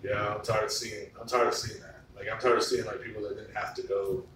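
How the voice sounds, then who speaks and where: A man's voice: on the low side, little pitch movement, fairly quick. A man in his thirties hosting a casual chat, rambling and swearing freely with lots of "like". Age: 30s. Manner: loose, profane storytelling with frequent "like". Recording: echoey room, far from the mic, faint noise.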